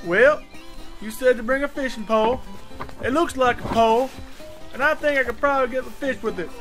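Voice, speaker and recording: Very high-pitched dopey Southern redneck. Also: male voice, a bit bright, soft background music. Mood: naive. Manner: slow-witted, naive, folksy. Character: dim-witted, cheerful, childlike redneck fishing buddy. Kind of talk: comedic audio drama.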